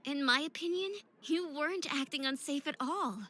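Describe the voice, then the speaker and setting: A woman voicing a gentle, polite young rival skater in a visual novel game, with a hesitant, soft-mannered delivery. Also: high-pitched, moderate pace. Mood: kind.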